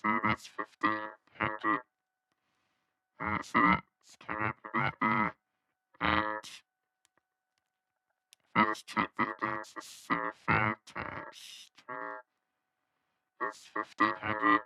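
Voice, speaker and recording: A man giving an unscripted technical tutorial, halting as he thinks aloud. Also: lowish voice, monotone, vocal fry, bright, forceful tone, smooth, no echo, soft background music. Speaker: audio tutorial presenter.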